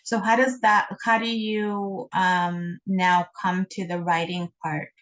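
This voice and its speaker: A woman's voice: fairly low pitch, little pitch movement, takes it slowly, a bit bright, highs cut off. A woman hosting an interview, asking questions and encouraging her guest with short affirmations. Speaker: interviewer host. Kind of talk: conversational interview.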